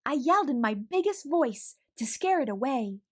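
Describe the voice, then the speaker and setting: A woman's voice: sits high, very animated, moderate pace. A woman voicing a timid little male mouse from a storybook who tries to sound brave and often speaks in questions. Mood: sheepish. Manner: rhyming, exclamatory questioning.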